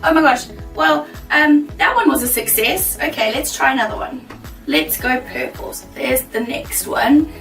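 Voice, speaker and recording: A woman with a croaky, worn voice chatting casually to camera as a baking vlogger, bubbly and laughing easily. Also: high voice, unhurried, fairly bright tone, reverberant, recorded from a distance, soft background music, low-quality recording. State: croaky, losing her voice.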